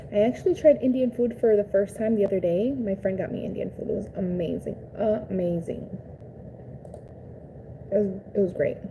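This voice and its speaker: A woman's voice: medium pitch, warm tone, poor audio. A young woman from Cuba chatting casually on a live stream, bubbly and greeting her viewers. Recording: faint background noise.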